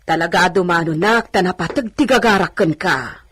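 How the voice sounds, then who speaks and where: A woman's voice: fairly low pitch, moderate pace, fairly bright tone, a little hiss or hum, close to an everyday voice. A woman playing an anxious, persistent older village servant in a radio drama, earnest and coaxing.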